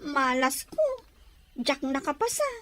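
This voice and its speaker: A woman acting a very high, girlish Ilocano companion. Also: big pitch swings, takes it slowly, a bit bright, a little hiss or hum, exaggerated voice. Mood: friendly. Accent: Ilocano Filipino. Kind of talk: radio drama acting.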